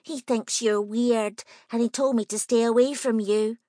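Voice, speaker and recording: A woman's voice: a little high, fairly even intonation, a little slow, dark tone, no room sound, studio quality, voice pushed up, close to an everyday voice. A woman voicing a nervous but stubborn nine-year-old girl who talks in a bossy, matter-of-fact way. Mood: insistent.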